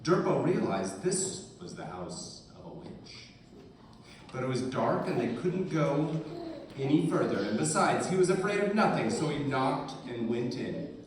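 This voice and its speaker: Slow, lively male storyteller in echoey room. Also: fairly high pitch. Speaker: narrator of a puppet show. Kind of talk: live scripted storytelling. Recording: very echoey room, distant, faint noise.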